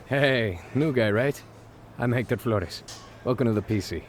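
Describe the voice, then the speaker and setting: A man voicing a measured, wry wrestler trainee from Mexico in a video game cutscene. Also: medium pitch, slight background noise, close to an everyday voice. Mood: welcoming.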